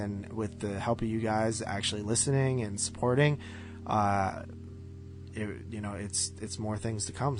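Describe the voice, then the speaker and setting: Casual male podcast host over guitar music. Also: lowish voice. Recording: music behind the voice.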